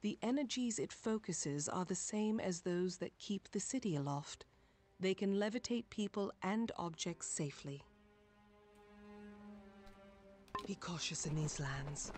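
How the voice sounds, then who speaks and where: An actress playing a solemn armored female guide in a fantasy video game, speaking formally and gravely. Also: lowish voice, animated, normal speed, a little mellow, natural voice. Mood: solemn, instructive.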